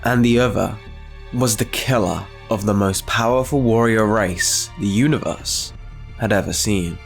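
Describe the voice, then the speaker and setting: A man's voice: fairly low pitch, a little slow, vocal fry. A man narrating a scripted story as the host of a channel. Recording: faint music behind the voice.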